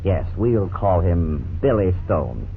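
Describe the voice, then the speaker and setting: An actor playing an earnest, authoritative family lawyer who explains things courteously in a 1950s radio drama. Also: male voice, deep voice, big pitch swings, creaky, faint music behind, heavily acted. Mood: earnest, instructive. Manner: measured, didactic, courteous. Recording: scratchy, tinny 1950s radio recording.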